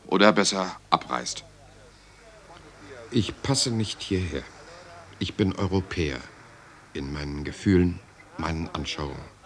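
A deep male voice tells his own story as a solitary, contemplative writer. The delivery is measured and lyrical, in the manner of a literary radio drama. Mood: resigned, homesick. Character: reflective, solitary European writer recounting his life. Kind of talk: literary first-person radio drama narration.

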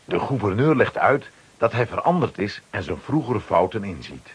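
A man's voice: on the low side, big pitch swings, normal speed, breathy edge. A man narrating a children's radio play from a script, dramatically.

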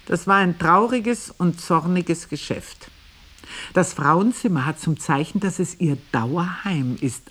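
A woman playing a bitter, earthy older maidservant, telling her story in a dramatic monologue with a husky voice. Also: lowish voice. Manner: scornful, vivid, shifting between murmur and emphasis.

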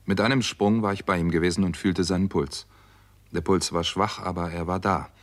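A sober doctor who narrates his own murder case. His voice is very low and his telling is measured and dramatic, in the manner of a 1960s German radio thriller. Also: fairly even intonation. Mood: grave, tense. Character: American doctor Steve Mason, sober crime-story narrator. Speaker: first-person narrator and lead actor.

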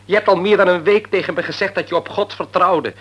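An actor playing a middle-aged grieving father in a radio play, bitter and argumentative, sinking into quiet despair. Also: high-pitched, fast. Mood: bitter, arguing.

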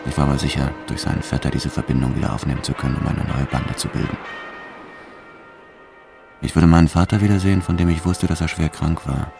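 A man's voice: extremely low pitch, level tone, fast, gravelly, over music. An actor playing a hardened, reckless French bank robber who tells his story in a cold, matter-of-fact, boastful way for a radio drama. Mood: matter-of-fact, hard. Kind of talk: first-person radio drama narration.

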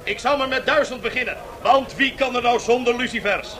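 Shrill, brash auctioneer. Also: male voice, brisk. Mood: mocking, hawking. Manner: loud, theatrical market-barker patter. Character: brash, mocking male auctioneer hawking a bankrupt empire. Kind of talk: radio play acting. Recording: faintly noisy 1970s radio drama tape.

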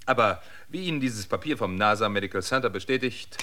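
A man's voice: lowish voice, lively intonation, fairly quick, sharp tone, a little hiss or hum. A man playing a weary, stubborn man of eighty-six in a science fiction radio drama, dry and matter-of-fact. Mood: curt, impatient. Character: 86-year-old weary, stubborn, dry-witted male emigrant.

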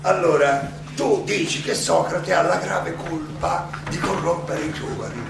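An actor playing a defiant, ironic old philosopher defending himself at trial, in a theatrical monologue full of rhetorical questions and dramatic pauses. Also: male voice, sits high, creaky, exaggerated voice. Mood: ironic, challenging. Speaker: stage actor. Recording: echoey, distant, noisy stage recording.

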